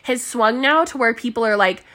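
A woman's voice: fairly high pitch, moderate pace, a bit bright. A woman hosting a solo podcast, chatting in a bubbly, rambling way with a stuffy nose. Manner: bubbly, chatty, lots of filler words and laughs. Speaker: podcast host and mindset coach.

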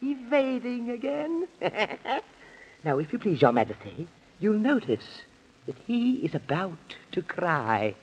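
An actor playing a pompous, frantic, stammering professor in a 1930s children's radio comedy. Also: male voice, very high-pitched, a bit bright, heavily acted. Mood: accusing. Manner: stammering, repeating himself, overblown alliterative oratory. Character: frantic, pompous, loony male professor prosecuting in mock court. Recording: muffled vintage 1930s radio recording.

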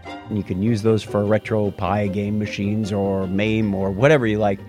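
A man's voice: fairly low pitch, normal speed, soft background music. A man co-hosting a casual tech podcast, rambling and correcting himself as he talks. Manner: rambling, self-correcting, lively. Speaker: podcast co-host.

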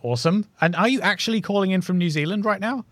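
A man hosting a podcast interview from a studio, upbeat and joking, with quick reactions to his guests. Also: sits high, no echo. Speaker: podcast host.

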